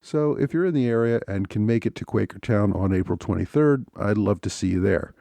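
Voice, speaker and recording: A man hosting a history podcast, talking in a measured, unhurried way, his voice a little scratchy from a cold. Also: lowish voice, animated, vocal fry, dark tone, no room sound. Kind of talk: solo podcast talk.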